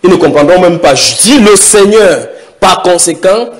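A man's voice: high-pitched, lively intonation. A man preaching a sermon, questioning the congregation and stretching out key words for emphasis. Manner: emphatic, questions the audience, drawn-out stresses.

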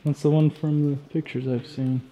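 A man's voice: fairly high pitch, flat delivery, moderate pace, crowd noise, low-quality recording. A man hosting an exploration vlog, walking through a decaying abandoned building and remarking in awe at what he finds. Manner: awed, commenting on what he sees. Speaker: urban explorer host.

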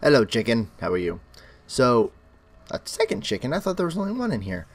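A young man hosting his own Minecraft let's play, chatting as he plays, his voice crackly and bass-heavy from illness. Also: wildly swooping pitch, brisk. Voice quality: extra bass from an allergic reaction. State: sick, crackly voice. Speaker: gaming channel host.

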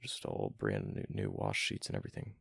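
A man playing a young gamer boyfriend in an ASMR roleplay, reluctant but affectionate, with halting, slangy, casual talk. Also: monotone, a bit bright, no echo, clean studio sound, exaggerated voice. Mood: soft, reluctant, affectionate. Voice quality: deep, gravelly, breathy murmur at times. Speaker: voice actor in boyfriend roleplay audio.

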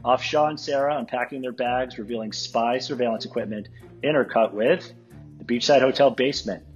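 A man's voice: medium pitch, brisk, highs cut off, soft background music. A man reading screen directions aloud at a live table read.

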